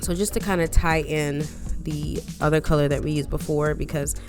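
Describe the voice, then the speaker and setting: A woman with a deep, low voice talks through a makeup tutorial in a loose, rambling way. She sounds congested and a little scratchy from a lingering cold. Music plays clearly under her voice. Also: fairly even intonation, normal speed. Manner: chatty, rambling asides, frequent "um" and "like". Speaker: beauty vlogger. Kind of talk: casual makeup tutorial chat.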